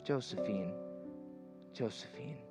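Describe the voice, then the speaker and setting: Actor as halting, earnest suitor, music behind. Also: male voice, lowish voice. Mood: pleading, tender. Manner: halting, hesitant, pleading. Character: shy, earnest, humble older suitor in love. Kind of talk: old-time radio drama acting.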